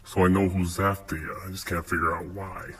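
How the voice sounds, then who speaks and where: A man's voice: low-pitched, little pitch movement, brisk, lightly acted. A man playing a hardboiled noir detective, telling his case in wry, dramatic rhyme. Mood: brooding. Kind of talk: rhyming film-noir parody narration. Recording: noisy homemade phone clips.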